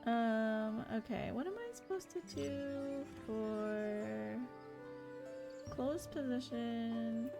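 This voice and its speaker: Woman streamer, slightly high voice, slow and chatty, self-mocking, game music clearly behind. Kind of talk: casual live-stream commentary.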